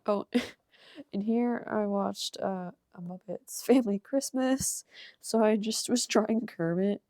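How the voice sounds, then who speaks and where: Young woman, slightly hoarse with a cold, casual and rambling, mellow tone, dry close sound. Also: medium pitch, takes it slowly, dry recording. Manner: casual and rambling, with frequent ums and soft laughs. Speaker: art channel creator. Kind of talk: casual sketchbook tour voice-over.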